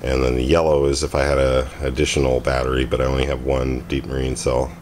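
A man's voice: very deep voice, completely flat delivery, quick pace, darkish tone, a little hiss or hum. A man giving a chatty, rambling handheld camera tour with lots of ums and uhs. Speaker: vlogger showing his homemade trailer. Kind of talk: casual handheld walkthrough. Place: outdoors at a parking lot, then inside a small trailer.

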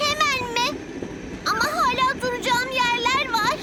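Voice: very high-pitched, fairly even intonation, a little slow, very noisy. A performer voicing an eager, helpful little train in a children's cartoon dub, speaking politely and earnestly. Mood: alarmed, urgent. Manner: polite, earnest announcing to passengers. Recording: loud music behind the voice.

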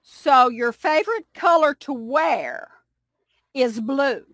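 An older woman from Kentucky with a Kentucky accent, chatting slowly on a video call through a headset and explaining with many restarts. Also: sits high, expressive, a bit bright, no echo, clean studio sound. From United States. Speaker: English conversation partner. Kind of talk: casual video call chat. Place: home room indoors.

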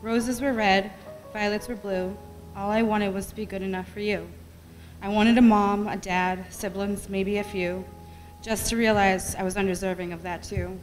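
Middle-aged female amateur poet, mid-pitched, reading at a podium in a very reverberant room. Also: steady tone, normal speed, soft background music. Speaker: poetry reader. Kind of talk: reading own rhyming poem aloud at an event. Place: library meeting room, at podium. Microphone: podium gooseneck microphone. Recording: echoey hall with long reverb.

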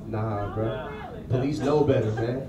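A man's voice: medium pitch, a little slow, dull audio. An American man doing stand-up comedy in a casual, slangy, punchy storytelling way on a handheld microphone. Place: small comedy club stage. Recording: roomy distant club sound, loud crowd noise. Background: loud audience laughter and noise.

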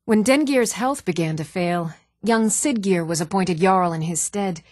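An actress playing an earnest, dutiful woman of a fantasy realm in a video game, speaking in a measured, composed and formal way. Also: on the low side, dry recording, studio quality. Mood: matter-of-fact.

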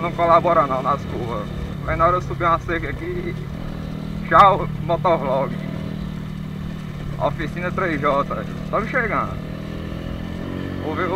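Male motovlogger, higher-pitched, informal slangy chat, heavy noise on action-camera audio. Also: big pitch swings, moderate pace, lo-fi sound. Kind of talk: casual vlog chat. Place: riding a motorbike on rural dirt roads, later a dim room. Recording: noisy action-camera audio, distant voice, motorcycle rumble.

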